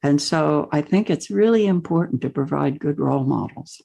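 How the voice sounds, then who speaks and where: A woman hosting an interview podcast in a slightly low voice. She speaks thoughtfully and often restarts her sentences. The style is relaxed conversation. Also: moderate pace, gravelly. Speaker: podcast host.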